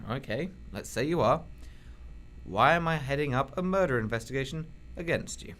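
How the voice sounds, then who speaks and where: A weary, sardonic detective, played by a man presses a suspect with blunt questions in a mid-pitched voice. The student radio play has faint noise behind him. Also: lively intonation, normal speed, a bit bright, lightly acted. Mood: pressing. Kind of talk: amateur radio drama acting.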